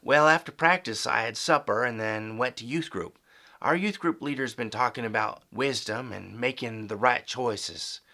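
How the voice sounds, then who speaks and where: Guy doing a polite sincere high school football kid, medium pitch, bright, audio drama. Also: normal speed.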